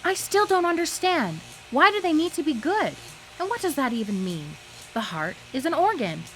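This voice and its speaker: A woman's voice: high voice, sing-song, moderate pace, faint music behind. An actress playing an earnest, trusting young female assistant in a live radio drama. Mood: puzzled, argumentative.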